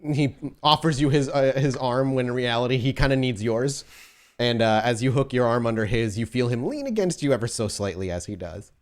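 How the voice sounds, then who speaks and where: A man's voice: medium pitch, brisk. Male game master, conversational narration. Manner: conversational, posing choices to players. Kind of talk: narrating a tabletop roleplaying game.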